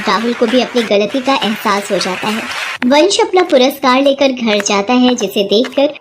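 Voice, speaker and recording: A woman narrating a children's moral story in an even storybook manner. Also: on the high side, normal speed, noisy background.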